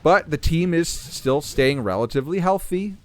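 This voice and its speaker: A man hosting a gaming channel, talking casually through a game while playing, clearing his throat as he is losing his voice. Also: fairly high pitch, moderate pace, soft background music. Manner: relaxed, thinking aloud while playing. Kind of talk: casual gameplay commentary.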